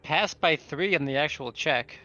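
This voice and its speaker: Man, tabletop player, dull lo-fi recording, bright voice, casual, tentative, thinking out loud. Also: a little high, moderate pace. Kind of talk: casual game-table talk. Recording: dull, lo-fi voice audio.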